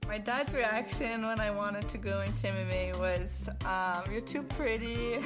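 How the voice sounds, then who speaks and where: A woman's voice: medium pitch, measured pace, over music. A woman answering in an old interview clip, chatty and full of filler words.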